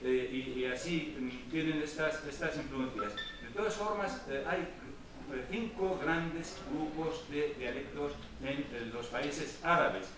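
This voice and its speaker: A man whose first language is Arabic, giving a university lecture with hesitant pauses and restarts. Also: fairly high pitch. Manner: hesitant, with many fillers and restarts. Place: lecture hall. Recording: echoey hall, mic far off, noisy.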